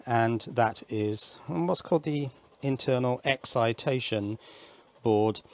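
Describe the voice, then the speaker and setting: A man's voice: medium pitch, takes it slowly. A man presenting an informal hands-on electronics demonstration, thinking aloud and correcting himself as he explains.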